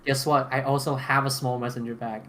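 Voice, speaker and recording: A man's voice: mid-range voice, flat delivery, fairly quick, fairly bright tone. A man chatting casually as a podcast panelist, rambling emphatically in slangy, informal language. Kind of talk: casual roundtable chat. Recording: clearly noisy background ambience.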